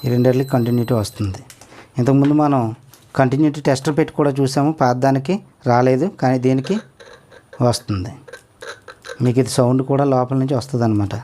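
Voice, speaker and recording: A man walks viewers through a speaker repair, talking quickly and steadily as he works. His voice is dark and mellow, and he keeps pointing things out step by step. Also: mid-range voice, fairly even intonation, a little hiss or hum. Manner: explains step by step, often asks the viewer to look. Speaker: electronics repair tutor. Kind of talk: hands-on repair tutorial.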